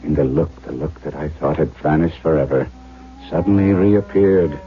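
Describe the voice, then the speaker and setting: An actor playing an earnest, coaxing German doctor in a 1970s radio drama, deliberate and theatrical in his delivery. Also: a bass voice. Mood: brooding narration. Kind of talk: 1970s radio drama acting with diary narration. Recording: tinny phone-like 1970s radio broadcast.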